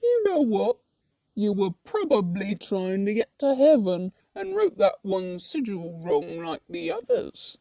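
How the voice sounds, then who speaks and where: Woman playing a mellow, laid-back, chatty demon. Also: mid-range voice, very animated, measured pace, vocal fry, poor audio. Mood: casually amused. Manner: rambling, casual, colloquial, mildly teasing. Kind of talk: comic puppet-show dialogue.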